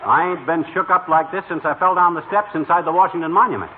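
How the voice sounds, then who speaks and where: A man's voice: on the high side, quick pace, lightly acted. A man playing a grumbling, wisecracking middle-aged husband in a folksy, sarcastic 1940s radio comedy style. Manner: folksy, sarcastic, colorful exaggeration. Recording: scratchy vintage radio, narrow tinny sound.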